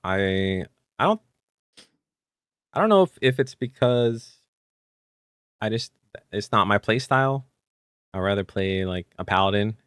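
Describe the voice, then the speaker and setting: A man co-hosting a tabletop gaming podcast, raspy and hoarse from illness, talking easily with many fillers. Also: lowish voice, lively intonation, a little slow, pauses often, a bit bright, no room sound, clean studio sound. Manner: easygoing, with frequent fillers. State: hoarse, still coughing after illness. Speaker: podcast co-host. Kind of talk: casual chat about tabletop game rules.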